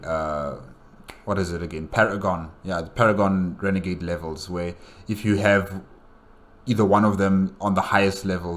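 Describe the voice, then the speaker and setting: Man, low voice, casual reaction commentary, musing, hesitant, self-questioning. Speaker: host reacting to a game movie.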